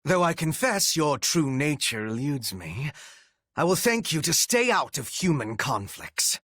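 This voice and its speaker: An actor playing a cold, menacing and devoted retainer and strategist in a video game, with formal, archaic, theatrical diction and a raspy voice. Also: male voice, fairly high pitch, sing-song, a little slow, fairly bright tone, dry recording, clean studio sound, heavily acted. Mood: cold menace.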